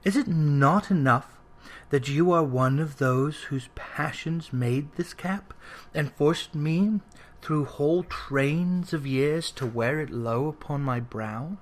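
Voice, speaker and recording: A man voicing a gentle, solemn ghost in a storybook reading, speaking softly, slowly and formally, with quiet reproach. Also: fairly high pitch. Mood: reproachful. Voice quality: soft, hushed.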